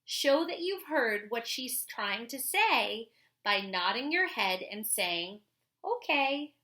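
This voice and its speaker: A woman reading aloud and explaining to children as a teacher, instructive, deliberate and expressive. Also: on the high side.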